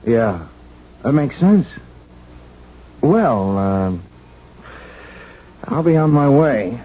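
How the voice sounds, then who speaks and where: An actor with an American accent playing a young, nosy police officer in a 1970s radio drama, folksy and awkward, stammering but dogged. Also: male voice, mid-range voice, big pitch swings, very slow, warm tone, breathy edge, exaggerated voice. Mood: casual, hesitant. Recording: muffled, noisy old radio broadcast.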